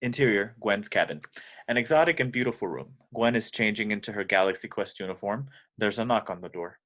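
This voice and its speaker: A man's voice: on the low side, a little fast, a bit bright. A man narrating scripted stage directions at an amateur table read.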